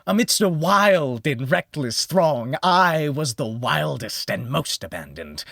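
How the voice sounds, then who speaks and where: A man narrating a gothic horror audiobook in a theatrical manner that moves between hushed near-whispers and heightened exclamation. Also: on the high side, very animated, slow pace, vocal fry, no room sound, clean studio sound. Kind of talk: dramatic scripted horror narration.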